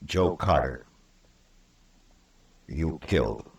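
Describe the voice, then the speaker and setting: An actor playing a steady, measured U.S. marshal in an Old West radio drama, plain-spoken and probing. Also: male voice, extremely low pitch, vocal fry, full character voice. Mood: grave. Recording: roomy old radio recording, distant from mic.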